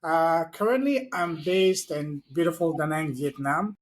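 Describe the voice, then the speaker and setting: A man's voice: fairly high pitch, unhurried. Guy from france, non-native english, earnest storyteller, lots of uhs, call audio. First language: not English. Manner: earnest storytelling with many "uh" fillers. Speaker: guest. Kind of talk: online interview. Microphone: video call.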